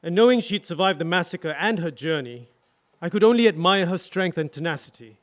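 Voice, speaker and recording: A man's voice: sits high, lively intonation, brisk. A man playing a subdued, remorseful husband on the stand. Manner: quiet, hesitant, remorseful answers. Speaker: witness in a courtroom drama. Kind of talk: audio drama acting.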